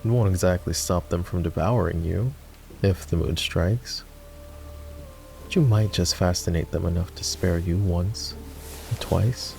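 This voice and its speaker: A male voice actor playing a gruff, sardonic fantasy knight in a roleplay ASMR, with a teasing, commanding manner. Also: deep voice, a little slow, a little mellow, faint music behind. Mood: wry, reminiscing.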